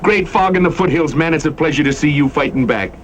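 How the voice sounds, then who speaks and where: An actor playing a cocky, taunting American hired gunslinger with a sly, mock-innocent drawl in a Western radio drama. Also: male voice, on the high side, fast, lightly acted. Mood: gleeful menace. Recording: faint noise, old radio drama recording.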